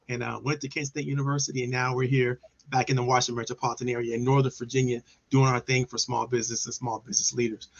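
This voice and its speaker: A man's voice: mid-range voice, a bit bright, dull audio. A man from Maryland hosting a casual online business show over a video call, talking in an upbeat, enthusiastic way with frequent restarts. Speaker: host.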